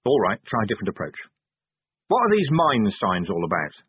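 A man voicing a weary, gruff middle-aged police commander with a sardonic edge. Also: lowish voice, huge pitch swings, a little fast, no room sound, narrow, tinny audio, heavily acted.

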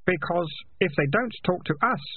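A man's voice: sits high, animated, normal speed, husky, no echo, narrow, tinny audio, voice pushed up. A man voicing a serious, determined young man urging his friends. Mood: urgent. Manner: serious, urgent.

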